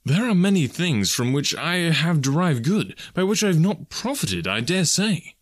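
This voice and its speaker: A cheerful young gentleman from a storybook, voiced by a male reader, pitched high and swooping. He is warm-hearted and earnest, gaily pleading his case. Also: sing-song, moderate pace, no room sound, studio quality.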